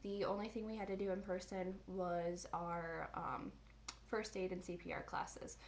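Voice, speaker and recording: A woman in her twenties from the US Midwest, chatting casually on her vlog and filling her pauses with ums. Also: fairly low pitch, flat delivery, slight background noise. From Indiana, USA. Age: 20s. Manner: chatty, many ums and restarts. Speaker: vlogger. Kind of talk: casual chat.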